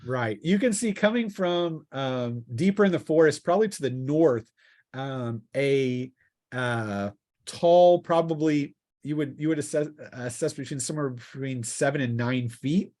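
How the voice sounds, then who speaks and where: A man narrates a tabletop fantasy game as game master, in a mid-pitched voice. He speaks slowly and haltingly, searching for words as he improvises. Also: a little mellow.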